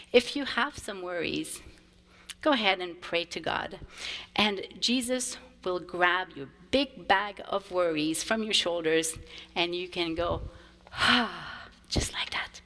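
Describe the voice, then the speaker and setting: A woman whose first language is French, a choir director, gives a gentle children's message in church. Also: on the low side, lively intonation, slow pace. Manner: warm, simple storytelling to children. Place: church sanctuary. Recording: long reverb of a church sanctuary.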